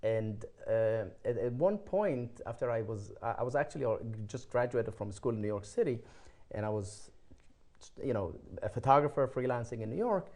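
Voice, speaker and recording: Older man, Israeli accent, dark mellow tone, mid pitch, halting, repeats words, conversational interview guest. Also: lively intonation. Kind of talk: conversational personal storytelling.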